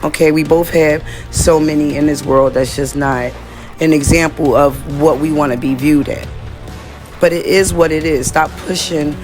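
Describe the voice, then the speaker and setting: A woman from the United States with a raspy, slightly hoarse voice, talking straight to her viewers in a casual diary-style monologue. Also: low voice, steady tone, normal speed, a little mellow, faint music behind. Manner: chatty, direct, addresses viewers as y'all. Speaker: vlogger.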